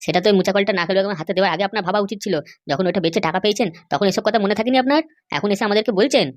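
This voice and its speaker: Woman voicing a blunt, very fast cartoon man. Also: medium pitch, dry recording, natural voice. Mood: reproachful. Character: blunt, sensible older-brother type cartoon man. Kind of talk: comedy cartoon dialogue.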